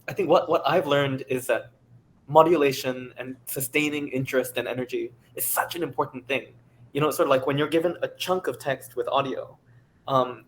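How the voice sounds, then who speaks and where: A man speaking as an audio director in a panel discussion, explaining his points earnestly. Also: mid-range voice. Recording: clear background noise.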